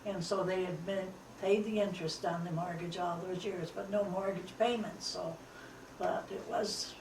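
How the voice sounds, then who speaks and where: A very elderly American woman in her nineties recalling the past informally, pausing often as she searches for details. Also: on the low side, fairly even intonation. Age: 90 or older. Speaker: interviewee, family elder. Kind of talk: informal oral history recollection. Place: echoey room, away from the microphone. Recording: roomy, distant, light background noise.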